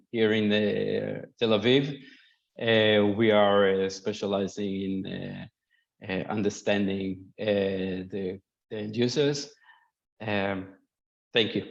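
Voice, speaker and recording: Gravelly slow man, flat and halting. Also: lowish voice, lo-fi sound. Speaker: panelist. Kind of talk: self-introduction on a panel.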